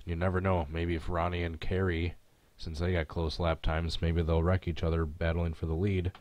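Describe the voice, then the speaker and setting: A man's voice: low voice, little pitch movement, moderate pace, a little mellow. A man with a stuffy, congested voice chatting offhandedly while live streaming a racing game. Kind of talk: casual live stream chat and game commentary.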